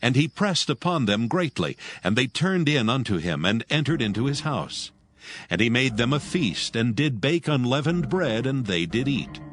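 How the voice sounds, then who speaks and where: A man narrating scripture in a measured, solemn reading. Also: mid-range voice, big pitch swings, fairly bright tone. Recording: narration over music.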